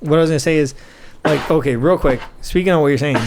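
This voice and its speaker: A man's voice: fairly high pitch, fast, vocal fry, noisy background. A young man from Scotland who now talks with an American accent, co-hosting a casual podcast over beer, rambling, swearing and tripping over his words. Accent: American, with a faint Scottish trace. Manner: rambling, swears a lot, stumbles and repeats words. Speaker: podcast co-host.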